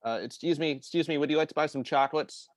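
A man's voice: fairly high pitch, fast, no echo, lightly acted. Man playing an eager, polite chocolate fundraiser. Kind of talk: improvised comedy sketch.

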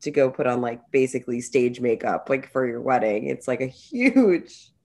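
A woman's voice: a bass voice, expressive, normal speed, warm tone. A young woman co-hosting a podcast, talking casually and rambling with lots of "like.". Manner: rambling, many "like" fillers, speaks in bursts. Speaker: podcast co-host. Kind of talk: casual chat.

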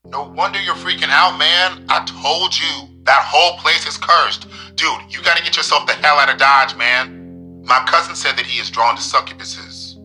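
An actor playing an excitable, superstitious young slacker friend, casual and full of slang, in a horror comedy radio play. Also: male voice, on the high side, normal speed, soft background music. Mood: alarmed, nagging. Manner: slangy, peppered with man and dude.

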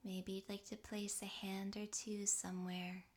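A woman guiding a relaxation meditation in a soft, breathy, half-whispered voice, gently and with long pauses. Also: medium pitch, little pitch movement. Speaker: yoga nidra meditation guide.

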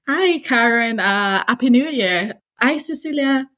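A woman's voice: on the high side, lively intonation, measured pace, fairly bright tone, dry recording. A Nigerian woman guest chatting about her work on a podcast, the sound close and dry. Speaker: podcast guest, data scientist and technical instructor. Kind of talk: casual interview talk.

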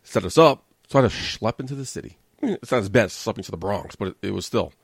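A man's voice: lowish voice, lively intonation, fast talker, vocal fry, slightly rough, dry recording, clean studio sound. Chatty New man hosting a podcast. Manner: chatty, self-deprecating, joking asides to listeners. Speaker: podcast host. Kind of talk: casual solo podcast monologue.

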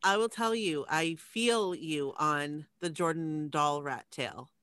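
A woman in her forties, a podcast co-host guest, chatting in an animated, emphatic way with laughs. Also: low voice, takes it slowly, clean tone, no room sound. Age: 40s. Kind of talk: casual interview chat.

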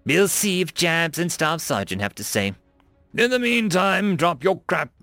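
A man acts out a brash Marine corporal, bossy and profane, barking military banter. The voice is pitched up and creaky, swinging widely, in a dry close recording. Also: sing-song, measured pace, bright, forceful tone, no room sound, soft background music, heavily acted.

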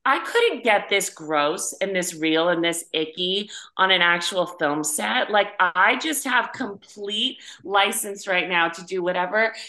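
A woman with a bright, forceful voice talks casually as an interview guest. Her phrasing is emphatic and informal, like an actress sharing how the work gets done. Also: medium pitch, expressive, a little slow, hardly any pauses. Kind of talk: casual chat.

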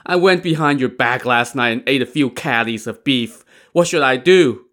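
A man's voice: medium pitch, moderate pace, fairly bright tone, close to an everyday voice. A man voicing a frantic, gullible male traveler in a story, whining and pleading in exclamations. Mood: moaning.

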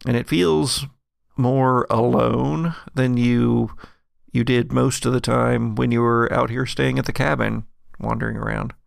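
A man with a creaky, gravelly voice narrating a tabletop horror game as game master, in a halting, deliberate way. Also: mid-range voice, fairly even intonation, no echo, clean studio sound.

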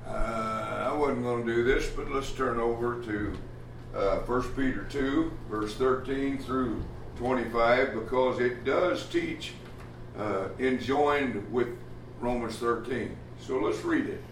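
A man preaching a sermon as pastor, plainspoken and folksy, often pausing with "uh" as he talks. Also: mid-range voice, slight background noise. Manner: plainspoken, folksy, halting with many uh fillers. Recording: echoey room from far off, faint ambience.

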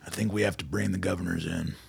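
An actor playing a short-tempered, shrewd army colonel in an audio drama, blunt and irritable, with a gravelly, husky voice. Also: male voice, low voice, fairly even intonation, fast, no room sound, full character voice. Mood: weary, blunt.

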